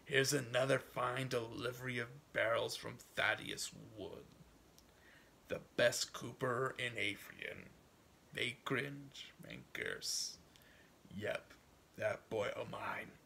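A man reading a story aloud as a proud, stubborn old farmer, folksy and musing, with a gravelly voice that drifts into hushed asides. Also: medium pitch, slow, a little hiss or hum, heavily acted. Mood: gloating. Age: older. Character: proud, wistful, stubborn old farmer dreaming for his son. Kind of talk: dramatic audiobook reading in first person.

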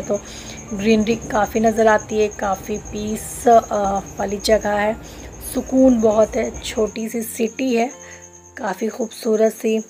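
A woman's voice: mid-range voice, darkish tone, slight background noise. A woman from Bokaro in India, chatting through her train journey as a travel vlogger and explaining each step to viewers on a handheld phone camera. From Bokaro, Jharkhand, India. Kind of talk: casual travel vlog narration. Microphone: phone camera held while filming.

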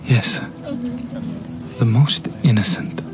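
An actor playing a solemn, courteous Italian gentleman, speaking formally in a radio drama. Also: medium pitch, takes it slowly, over music, close to an everyday voice. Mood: solemn, resigned.

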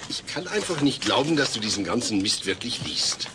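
Sensible suburban dad acted by a man, medium pitch, very varied intonation, formal and exasperated, comedy audio drama. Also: very animated, fairly quick, noisy background, lightly acted. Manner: earnest, formal, precise wording. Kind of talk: German-dubbed comedy audio play.

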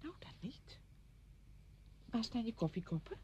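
An actress playing a chatty, well-meaning visitor in a radio drama, with a coaxing, fussing manner. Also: female voice, very animated, a little slow, dark tone, husky, in a whisper, exaggerated voice. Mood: brisk, helpful. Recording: noisy old radio play recording, low rumble.

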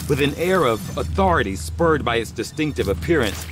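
A man's voice: medium pitch, animated, a little fast, natural voice. Formal, clinical scientist played by a man, over music. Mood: clinical curiosity. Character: learned male alien-autopsy scientist, measured, fascinated, formal. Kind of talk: scripted video game narration. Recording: music behind the voice.

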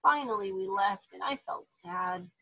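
Storybook pit bull played by a woman: gentle big male dog, downcast and patient, husky voice. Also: mid-range voice, expressive, unhurried, dull audio, full character voice. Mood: hurt, sad. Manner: subdued, weary, patient.

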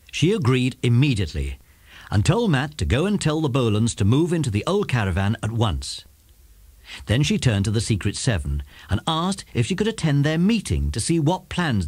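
A man's voice: mid-range voice, darkish tone, husky, dry recording. A man with an English accent narrating a scripted children's audiobook.

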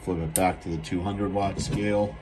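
An American man giving a casual, unscripted equipment demonstration in a laid-back, halting, matter-of-fact way, recorded indoors on a handheld camera mic. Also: low-pitched, fairly even intonation, background noise. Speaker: seller demonstrating a restored CB radio. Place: indoors at a radio bench.

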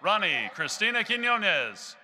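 Loud bright young man reading names. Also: high-pitched, big pitch swings, a little hiss or hum. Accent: Texan. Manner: loud, measured announcing of names. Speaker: graduation name reader. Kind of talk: reading graduate names at a ceremony. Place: large indoor arena stage. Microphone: podium microphone.

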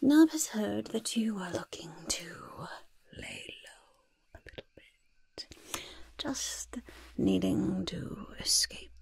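A sly goblin schemer, played by a woman in a soft-spoken ASMR roleplay. The voice is very husky and breathy with a crackle of fry, held close to the mic. It fusses along deliberately, smug and conspiratorial. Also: mid-range voice, wildly swooping pitch, heavily acted. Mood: sly, teasing. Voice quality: breathy, hushed. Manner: slow, deliberate, refers to self in third person. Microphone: close microphone.

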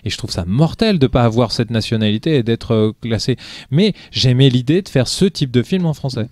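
Animated man talking in bursts. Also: mid-range voice, fairly quick, no echo. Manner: animated, talks in bursts with many restarts and fillers. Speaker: film director, guest. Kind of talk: casual podcast interview.

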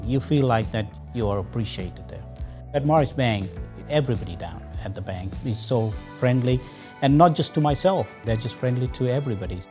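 A man's voice: medium pitch, lively intonation, a little fast, a little mellow. A man speaking as a manager in an unscripted business testimonial. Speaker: hotel manager giving a testimonial. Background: music.

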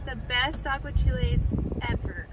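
A woman's voice: a little high, a little slow. A young woman casually chatting about food in a parked car, filmed on a dashboard phone. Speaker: co-host. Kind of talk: casual food review chat. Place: parked car, outdoors noise. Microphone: phone camera on dashboard. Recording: car with loud street noise.

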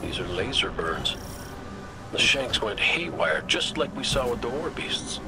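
An actor playing a cocky, restless, wisecracking gunslinger in a video game, with a jokey, folksy manner. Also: male voice, mid-range voice, big pitch swings, a little slow, creaky. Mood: wry, restless. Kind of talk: scripted game dialogue. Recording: noisy game action behind.

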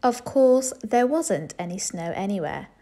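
Female storybook narrator: expressive read-aloud for children, animated intonation, unhurried pace. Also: medium pitch. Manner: expressive, addresses young listeners directly. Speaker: storybook reader.

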